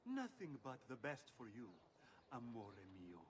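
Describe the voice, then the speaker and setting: An actor playing a smug, two-timing young Italian cad in a video game, with an Italian accent. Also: male voice, medium pitch, wildly swooping pitch, measured pace, slight background noise. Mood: smooth-talking. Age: young adult. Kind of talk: dramatic video game acting.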